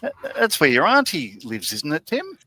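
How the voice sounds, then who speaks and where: A man's voice: on the high side, wildly swooping pitch, normal speed, sharp tone, husky, no echo. A man co-hosting a casual chat, talking in a rambling, hesitant way with many fillers. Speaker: co-host.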